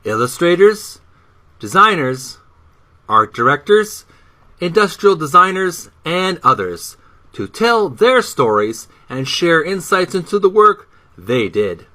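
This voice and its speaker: A man reading a book introduction aloud as narration, with deliberate, emphatic phrasing. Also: on the high side, very animated, sharp tone.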